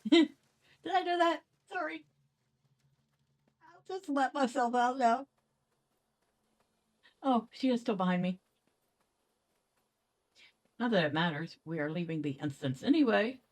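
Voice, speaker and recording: A woman's voice: a little high, expressive, measured pace, long pauses, a little mellow. A woman hosting a gaming channel with casual let's play commentary, playful and talking to herself. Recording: game music behind the voice.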